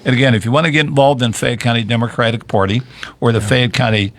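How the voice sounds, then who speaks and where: A man's voice: mid-range voice, steady tone, fast. A man from hosting a local political talk radio hour, chatting loosely with many restarts. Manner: rambling, conversational, with many restarts. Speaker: radio show host.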